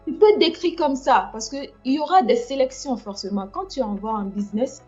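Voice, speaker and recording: Woman chatting on a livestream, mellow lo-fi. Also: a little high, animated, a little fast, faint music behind. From France. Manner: hesitant, many fillers and restarts. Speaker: livestream host. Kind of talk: casual livestream chat.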